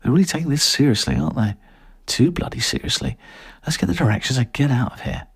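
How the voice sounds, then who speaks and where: A man's voice: medium pitch, lively intonation, fast talker, vocal fry, breathy edge, full character voice. A man voicing a wry, grumbling male walker in a story reading. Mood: irritated.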